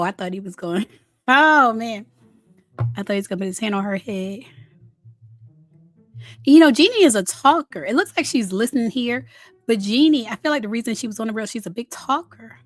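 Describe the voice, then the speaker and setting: Slightly raspy, high-voiced woman gossip vlogger. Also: expressive, soft background music. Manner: chatty, candid, drawn-out emphasis. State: voice worn from recent illness. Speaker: host of a celebrity gossip channel. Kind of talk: casual gossip commentary and reaction.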